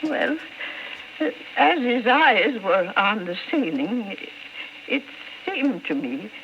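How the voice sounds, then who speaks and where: A kindly, fretful rooming-house landlady in a vintage radio drama. Her pitch moves in lively swings, and her delivery is halting and deferential. The old recording is muffled, with faint noise underneath. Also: heavily acted. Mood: reluctant, grave.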